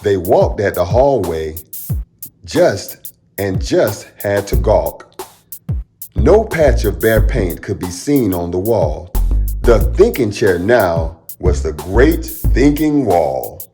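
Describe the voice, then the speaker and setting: A man reading a rhyming children's picture book aloud as a storytime host, in an expressive, dramatic storyteller manner. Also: on the low side, slow pace, faint music behind.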